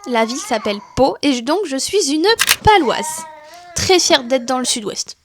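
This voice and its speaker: Woman, 30s, from southwest France; high-pitched, casual vlogger chat, filler-heavy. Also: expressive, moderate pace, noisy background. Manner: chatty, many fillers and restarts.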